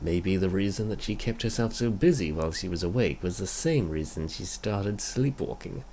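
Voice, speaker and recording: A man with a fairly low, gravelly voice reads a comic aloud as host and narrator. His delivery is casual and swings around in pitch, over faint noise. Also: expressive, normal speed, mellow. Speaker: comic dub reader and channel host. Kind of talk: casual video intro and scripted first-person narration.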